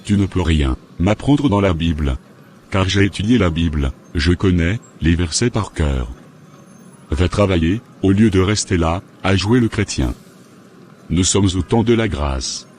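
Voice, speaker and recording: Low-pitched straying husband, acted by a man. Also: lively intonation, measured pace, darkish tone, faint music behind. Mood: defensive. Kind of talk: scripted cartoon dialogue.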